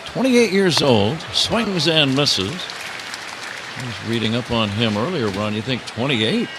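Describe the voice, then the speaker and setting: An older man works as a baseball play-by-play announcer on live radio. His intonation swings widely between calls and conversational asides. There is clear ambient noise behind him in the booth. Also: mid-range voice, sing-song, takes it slowly, a crowd in the background. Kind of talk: live radio sports commentary with casual banter. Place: stadium broadcast booth.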